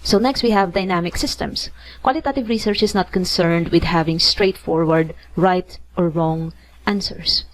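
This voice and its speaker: A woman's voice: on the low side, low-quality recording. A woman from the Philippines with a Filipino accent, giving a conversational lecture, thinking aloud with restarts and tag questions. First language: not English. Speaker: teacher. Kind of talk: online lecture.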